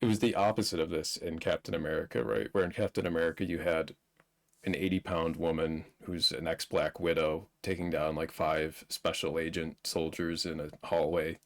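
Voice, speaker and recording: A man with a low-pitched voice talks as a livestream co-host. He speaks in a casual, conversational style, sharing his take on a film. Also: flat delivery, normal speed. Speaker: stream co-host reviewing a movie. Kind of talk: casual movie discussion on a livestream.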